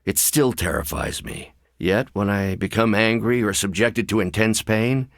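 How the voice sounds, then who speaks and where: A man voicing a mild-mannered, brilliant but troubled middle-aged scientist for a video game, speaking in a measured, articulate, wry way. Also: on the low side, vocal fry, no room sound, clean studio sound. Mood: earnest, regretful.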